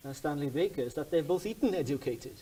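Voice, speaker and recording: Hesitant interviewer, slightly high man's voice. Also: lively intonation, fast talker, warm tone, slight background noise. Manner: thoughtful, hesitant, many fillers. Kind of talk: conversational interview on stage.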